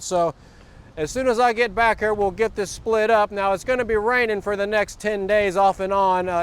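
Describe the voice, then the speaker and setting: A fairly high-voiced man with a Southern US accent talks to camera outdoors in a windy wood lot. He rambles and restarts often, and his sore throat leaves his voice a little rough. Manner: rambling, many uhs and restarts. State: sore throat, voice not back. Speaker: vlogger. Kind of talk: casual outdoor vlog.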